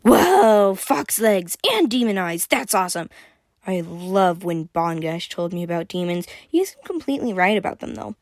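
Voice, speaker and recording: A woman voicing a naive, excitable young girl in a storybook, gushing breathlessly with wonder. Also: medium pitch, moderate pace, dry recording, clean studio sound. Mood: amazed.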